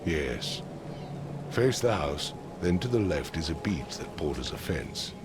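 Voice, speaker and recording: An actor playing a haughty, impatient middle-aged patrician father in a video game, speaking formally and curtly in a gravelly voice. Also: very deep voice, very animated, unhurried, background noise, heavily acted. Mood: indignant, impatient. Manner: imperious, formal, curt. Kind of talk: dramatic video game voice acting.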